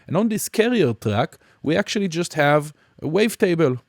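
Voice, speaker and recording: A man teaching music production in a casual software demonstration, explaining informally and somewhat hesitantly step by step. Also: on the high side, sing-song, moderate pace, no room sound, studio quality. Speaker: music production tutorial instructor.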